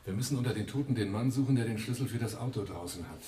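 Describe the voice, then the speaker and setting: An actor in a German radio drama playing a cool-headed, pragmatic leader of a crime crew, terse, matter-of-fact and commanding. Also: male voice, medium pitch, very fast, reverberant, recorded from a distance, noisy background, natural voice. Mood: matter-of-fact, controlled.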